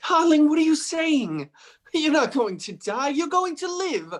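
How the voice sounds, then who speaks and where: A protective sweetheart in a radio drama, played by a woman, with a high, very animated voice. The delivery is emotional, theatrical and quick to indignation. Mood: anxious, tender. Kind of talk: radio play acting.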